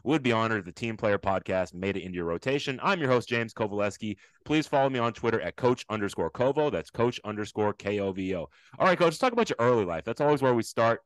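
A man's voice: fairly low pitch, animated, quick pace, few pauses, clean tone, no echo. A man hosting a coaching podcast, upbeat and chatty, talking to a guest in a casual interview. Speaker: podcast host.

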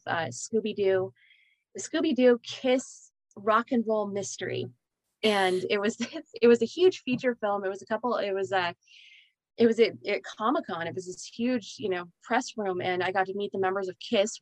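A woman's voice: medium pitch, no room sound, rough recording. A woman host asking questions in a casual interview, rambling and restarting her sentences. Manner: rambling, hesitant, many restarts.

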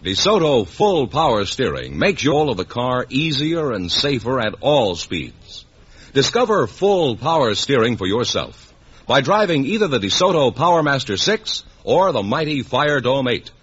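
A man's voice: medium pitch, very animated, moderate pace, a bit bright, husky. An American man working as announcer and sidekick on a 1950s radio quiz show. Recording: muffled old radio broadcast.

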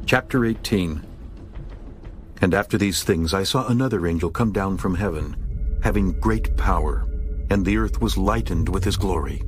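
A man's voice: deep voice, moderate pace, soft background music. A man working as narrator, reading scripture from a script in a dramatized audiobook.

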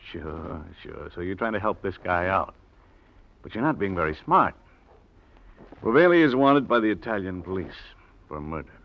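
An actor playing a shrewd federal lieutenant in a 1940s radio drama, casual in phrasing but quietly insistent. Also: male voice, sits low, lively intonation, creaky. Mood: knowing, patient. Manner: casual, hesitant drawn-out phrasing, quietly pressing. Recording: dull old radio recording.

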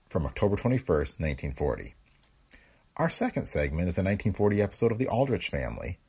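Man, podcast host, very low pitch, husky, lively inflection, scripted narration.

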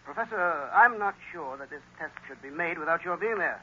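An actor playing a brusque, suspicious army general in a 1930s radio drama. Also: male voice, fairly high pitch, animated, fairly quick, pushed and bright. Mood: suspicious, angry. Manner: brusque, commanding. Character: blustery, suspicious army general, authoritative man. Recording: noisy, muffled 1930s radio recording.

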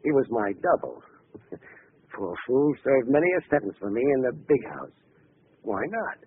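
An actor playing a cocky, vengeful gangster with an American accent and slangy, taunting tough talk in a 1940s radio drama. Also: male voice, medium pitch, big pitch swings, moderate pace, slightly rough. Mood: gloating, menacing. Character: cocky, vengeful male gangster back from supposed execution. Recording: noisy, tinny old radio broadcast recording.

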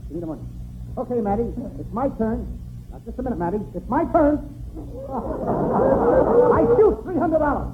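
A man's voice: very high-pitched, big pitch swings, normal speed, pauses often, a faint crowd, a little hiss or hum. A man performing in a 1940s radio comedy sketch, sly and cocky, on a tinny old broadcast recording.

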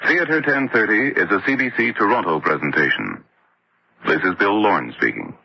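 A man's voice: on the low side, sing-song, moderate pace, vocal fry, husky. A man announcing from a script as a vintage radio announcer. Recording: dull old radio recording.

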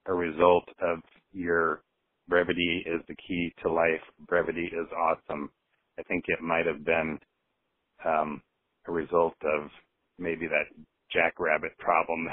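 Man, caller, teasing, chuckling, very deep, flat delivery, dry tinny phone sound. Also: no echo. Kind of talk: phone voicemail.

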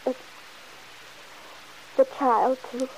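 A woman's voice: high voice, level tone, extremely slow, heavily acted, rough recording. An actress playing a fretful, guilt-torn young mother in an old-time radio soap opera, hesitant and pleading. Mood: anxious, wistful. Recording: hissy vintage radio broadcast recording.

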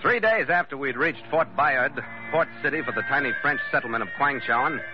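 Muffled vintage radio sound with prominent music carries an actor playing a firm, seasoned ship's master. He tells his tale in clipped, measured phrases, like a log entry from a 1940s adventure serial. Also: mid-range voice, close to an everyday voice. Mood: wry, measured. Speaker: lead actor and narrator.

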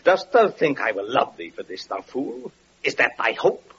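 An actor playing a bitter, lame, evil-faced wanderer with mocking, archaic declamation in an old-time radio drama. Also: male voice, mid-range voice, huge pitch swings, moderate pace, fairly bright tone, slightly rough, full character voice. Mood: bitter disdain. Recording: muffled, noisy vintage radio recording.

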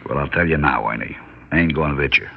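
A man playing a weary, stubborn old New shopkeeper, wry and deliberate, in an old radio drama. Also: rumbling low, gravelly, slightly rough, exaggerated voice. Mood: resolute. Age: older. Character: weary, stubborn old male delicatessen owner held hostage. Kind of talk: 1960s radio drama acting. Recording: dull old radio broadcast.

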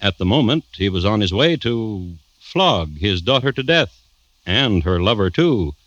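A man hosting and narrating a 1950s radio drama in a dry, wry, measured storytelling manner. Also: fairly low pitch, big pitch swings, fairly bright tone, no echo, highs cut off. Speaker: host and narrator. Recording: noisy vintage radio recording.

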